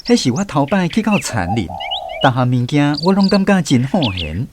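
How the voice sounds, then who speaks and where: A man narrating a radio drama in a measured storytelling way, recalling childhood memories. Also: a little high, big pitch swings, noisy background.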